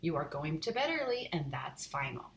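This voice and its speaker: A woman's voice: low voice, big pitch swings, moderate pace, creaky, a little hiss or hum, exaggerated voice. A woman voicing a stern mother who scolds her children, firm and raised, in a roomy echo.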